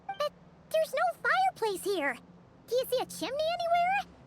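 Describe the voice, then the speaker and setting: A woman voicing a tiny, excitable, childlike female fairy companion with a squeaky voice in an anime game. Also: moderate pace, heavy background noise. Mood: indignant. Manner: childish, refers to self in third person.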